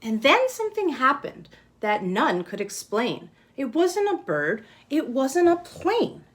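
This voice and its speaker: A woman's voice: high voice, wildly swooping pitch, slow, husky. A woman kindergarten teacher giving a simple lesson and picture-book read-aloud, explaining step by step and checking with questions.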